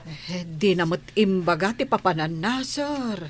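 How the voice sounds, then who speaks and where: An anxious Ilocano household nanny, noisy recording. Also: female voice, lowish voice, animated, unhurried. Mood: respectful, anxious. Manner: deferential, anxious. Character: dutiful, worried female household nanny. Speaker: household maid. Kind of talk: Ilocano radio drama acting. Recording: noisy radio drama recording.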